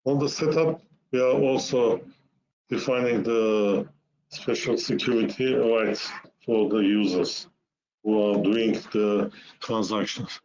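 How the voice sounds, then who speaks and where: A man narrating a software demo webinar, explaining step by step in a halting way with repeated words. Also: on the low side. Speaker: software presenter. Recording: muffled lo-fi webinar audio.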